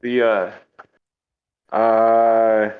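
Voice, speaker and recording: Slow, halting man with a dull sound. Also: on the low side, completely flat delivery. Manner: hesitant, halting. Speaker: player. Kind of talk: playing a tabletop game.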